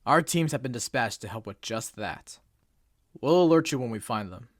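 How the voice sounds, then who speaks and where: A stern, formal bird assistant, acted by a man, lectures in clipped, precise phrases, proud and unbending. His voice sits at an ordinary middle pitch and sounds close and dry, with nothing from the room around it. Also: expressive, normal speed, no echo, lightly acted. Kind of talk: fan comic dub acting.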